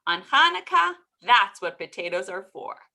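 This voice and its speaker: Lady doing a wise old-world rabbi guy from a storybook, slow and solemn, very sure of himself. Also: medium pitch, very animated, gravelly, bright, forceful tone, heavily acted. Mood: sure, pronouncing. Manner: sage-like, solemn pronouncements. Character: wise, unhurried, hungry male rabbi.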